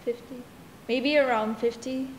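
Casual: woman interpreter, slow hesitant replies, a bit high, roomy echo w faint hum. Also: fairly even intonation. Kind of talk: casual chat, switching between English and Chinese. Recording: echoey room with faint noise.

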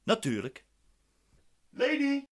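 An actor playing a dissatisfied defendant in a radio play, formal, assertive and politely cutting. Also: male voice, extremely high pitch, huge pitch swings, slow pace, heavily acted. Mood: coolly polite.

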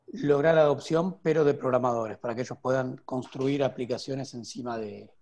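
A man in his thirties, a guest developer, explaining at length on a video call from home with many restarts and pauses. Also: medium pitch, gravelly, a little mellow. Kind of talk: casual video call interview. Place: home room with window. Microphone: earphone headset on video call.

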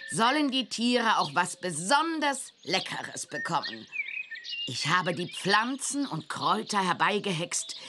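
A woman playing a bossy old witch aunt in a children's game, theatrical and self-important, with deliberate delivery. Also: on the low side, background noise. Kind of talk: scripted children's game character acting. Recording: clear birdsong behind the voice.

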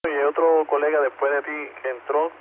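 A man's voice: fairly high pitch, little pitch movement, moderate pace. A courteous man with a Puerto Rican accent running a check-in net as controller over two-way radio. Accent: Puerto Rican Spanish. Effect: two-way radio transmission. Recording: muffled ham radio transmission with clear static.

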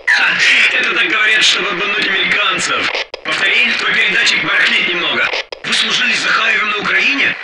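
An actor playing a wary Russian soldier on patrol in military video game voice lines. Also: male voice, high voice, fast talker, distant mic, over loud music, natural voice, rough recording.

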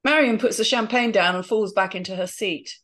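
A woman's voice: mid-range voice, brisk, a bit bright. A woman reading out scene headings and stage directions in a script read-through over a video call.

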